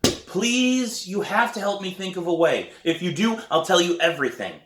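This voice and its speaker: A man's voice: high voice, expressive, moderate pace, background noise, voice pushed up. An eager, exasperated preteen played by a man reading a storybook pleads in a raised, swooping voice. The kid sounds whiny and defensive, and the room is echoey. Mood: pleading, insistent. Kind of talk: acted dialogue in a children's book reading. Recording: roomy echo.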